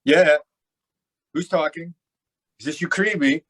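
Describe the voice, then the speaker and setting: A man acting a suspicious, impatient gangster boss in an old-time radio drama, barking demanding questions in a gruff voice. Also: a little high, measured pace, fairly bright tone.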